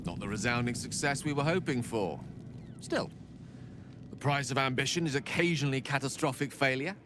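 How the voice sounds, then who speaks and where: A man plays an urbane wizard in a fantasy video game. He is eloquent, wry and a touch theatrical, in a mid-pitched voice. There is clear noise behind him. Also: expressive, moderate pace, lightly acted. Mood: wry optimism. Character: urbane, eloquent, wryly optimistic male wizard.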